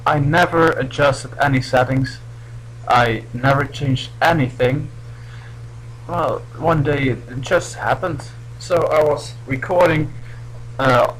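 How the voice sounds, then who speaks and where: A man's voice: medium pitch, a little hiss or hum, rough recording. A young man from Belgium, not a native English speaker, giving casual, hesitant let's play commentary. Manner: hesitant, restarts often. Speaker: gameplay commentator.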